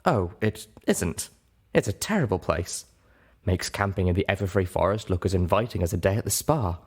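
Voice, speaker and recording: A man's voice: low voice, lively intonation, normal speed, darkish tone. A man voicing a knowledgeable female pony guide in a storybook reading, explaining things in a matter-of-fact way. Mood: wry warning.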